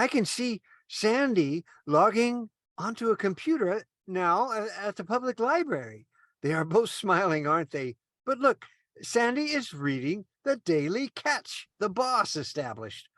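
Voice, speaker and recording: An eager, innocent junior angel from a radio play , voiced by a man. His pitch swings widely as he exclaims in kindly earnest. The sound is dry, with no room echo. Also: very animated, a little slow, a bit bright, heavily acted. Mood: hopeful, concerned. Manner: earnest, exclaiming, swooping intonation. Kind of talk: old-time radio play parody.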